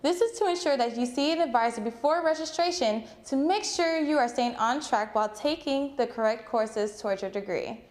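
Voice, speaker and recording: A woman with a fairly high voice delivers scripted informational narration. She speaks as an advising presenter, addressing students directly and explaining things step by step.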